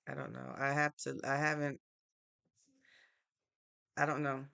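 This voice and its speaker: A woman's voice: very deep voice, little pitch movement, unhurried, gravelly, a bit bright. A woman from Connecticut in the United States hosting a live stream, chatting casually and answering viewer comments in an easygoing, thinking-aloud way. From Norwalk, Connecticut, United States.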